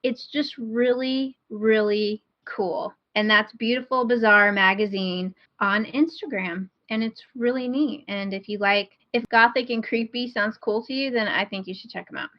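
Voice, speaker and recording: Woman, higher-pitched voice, podcast co-host, informal self-deprecating chat, slightly muffled audio. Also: normal speed, few pauses, no room sound.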